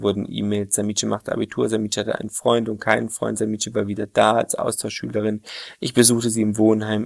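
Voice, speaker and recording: A man's voice: fairly low pitch, gravelly, no room sound, studio quality. A man reading a reflective first-person essay in an earnest, plain manner. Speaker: essay narrator.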